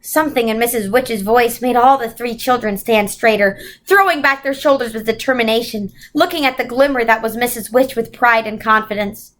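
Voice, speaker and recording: A woman narrating a children's novel aloud in an expressive read-aloud style. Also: a little high, normal speed, hardly any pauses, poor audio. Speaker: story reader.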